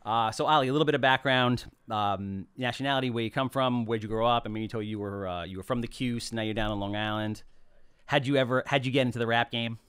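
A middle-aged man hosts a podcast in a small office, joking and talking with big gestures. His voice is smooth and resonant. The sound is dry and close on a boom mic. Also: fast, no room sound. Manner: animated, joking, gestures broadly while talking. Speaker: podcast host. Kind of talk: casual podcast chat.

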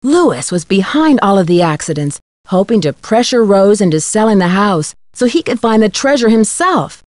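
A woman's voice: mid-range voice, sing-song, moderate pace, darkish tone, dry recording, studio quality, close to an everyday voice. An actress playing a curious, upbeat young woman detective in a video game, with an inquisitive, scripted delivery. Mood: cheerful recounting. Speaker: game protagonist.

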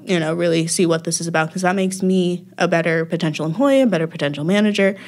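A woman's voice: on the low side, fast, a little mellow. A young woman chatting casually as a podcast guest, with frequent ums and easy laughter. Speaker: podcast guest, music festival worker. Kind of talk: casual interview chat.

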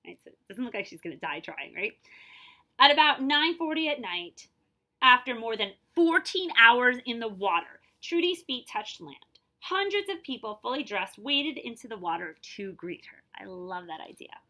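A woman in her forties reads a storybook aloud in a bright, fairly high voice. Her delivery swings widely in pitch as she narrates. She steps out of the text to explain things plainly and check that listeners follow. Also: very animated, moderate pace. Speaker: librarian reading a picture book aloud. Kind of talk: animated storybook read-aloud with explanatory asides.

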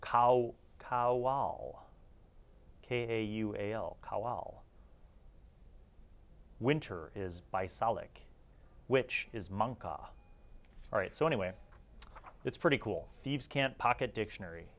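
A man's voice: on the low side, takes it slowly, long pauses. A man giving a casual, rambling review as a channel host, informal and enthusiastic, thinking aloud.

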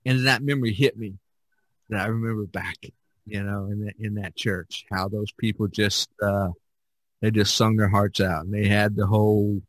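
A man hosting a casual podcast chat, reminiscing in a halting, rambling way and often repeating his words. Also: fairly low pitch, fairly even intonation, no echo, rough recording. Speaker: podcast host.

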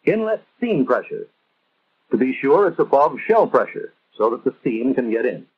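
American male narrator, 1950s instructional style, measured and didactic, husky edge, muffled vintage film sound. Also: mid-range voice, very animated.

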